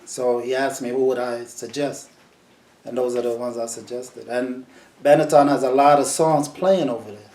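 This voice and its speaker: Man, mellow voice, laid-back storytelling, roomy echo. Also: medium pitch, normal speed. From New York. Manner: relaxed, storytelling, repeats words. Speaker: sound system selector. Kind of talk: casual interview answers.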